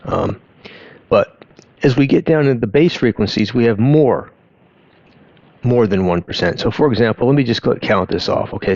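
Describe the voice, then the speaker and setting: A man giving an informal explainer in a halting, conversational way, often interrupting himself to rephrase. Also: fairly low pitch, darkish tone, no echo, lo-fi sound. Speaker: host of an audio education channel. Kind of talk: informal explainer talk over on-screen graphs.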